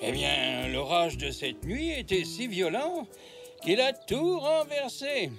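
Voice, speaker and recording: A man's voice: sits high, sing-song, takes it slowly, vocal fry, a bit bright, faint music behind, full character voice. A man voicing a kindly, easygoing old zebra grandfather in a children's cartoon, with an indulgent manner. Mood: explaining. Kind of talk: children's cartoon dub acting.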